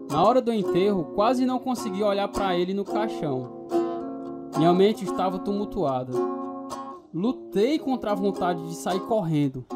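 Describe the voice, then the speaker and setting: A troubled, fearful man tells the story of his youth as dramatized radio narration. He is earnest and emotive, with plucked guitar music clearly behind the voice. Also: high-pitched.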